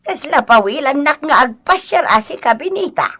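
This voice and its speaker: A woman's voice: sits high, lively intonation, normal speed, a bit bright. A woman playing a chatty, exclaiming village mother in a comedic radio drama.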